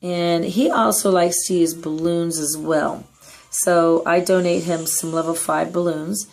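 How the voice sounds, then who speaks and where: Hoarse, raspy woman narrating a tutorial. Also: on the low side, fairly even intonation, measured pace, darkish tone. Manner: chatty and upbeat, with frequent um and uh. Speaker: clan leader giving strategy tips. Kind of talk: gameplay tutorial voice-over.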